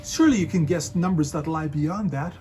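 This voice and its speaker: A man's voice: on the high side, a little fast, warm tone, soft background music, lightly acted. A man playing a cold, calloused Roman soldier in a dramatic reading, formal and taunting.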